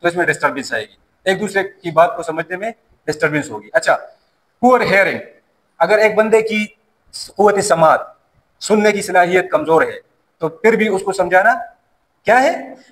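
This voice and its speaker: A man's voice: sits high, moderate pace, fairly bright tone, low-quality recording. A man, teaching a safety class in a classroom and answering his own questions as he explains. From Pashto-speaking region of Pakistan. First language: Pashto. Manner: explains by asking questions and answering them himself. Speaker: safety course instructor. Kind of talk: classroom lecture.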